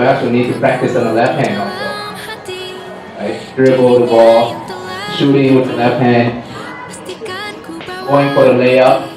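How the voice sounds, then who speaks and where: Man, guest speaker, chatty and easygoing, mid pitch, far off the mic in a reverberant room. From Vietnam, raised in America. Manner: conversational, often tags 'right?' to check with listeners. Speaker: guest speaker at a school English club. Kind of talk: informal talk to students. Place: school hall. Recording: echoey room, voice from afar, music behind.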